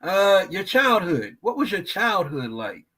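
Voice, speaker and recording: A man's voice: sits high, very animated, a little slow, pushed and bright, slightly rough. Earnest man in his thirties interviewing. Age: 30s. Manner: earnest, candid, conversational. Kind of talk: casual interview.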